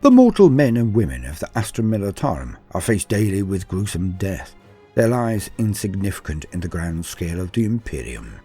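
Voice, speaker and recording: A man's voice: low voice, wildly swooping pitch, normal speed, mellow, breathy edge, faint music behind. A man delivering dramatic scripted narration in a theatrical, grandiose manner as a lore host.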